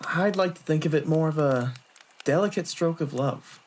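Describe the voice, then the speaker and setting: A man voicing a smooth-talking, unrepentant flirt with courtly, self-satisfied manners in an anime-style audio drama. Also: on the high side, normal speed, a little mellow, slight background noise, natural voice. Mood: suave.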